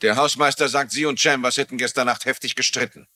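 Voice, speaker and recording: An actor playing a brisk, officious and self-important police lieutenant in a dubbed TV mystery. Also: male voice, mid-range voice, steady tone, bright, forceful tone, natural voice. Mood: interrogating. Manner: officious, insistent.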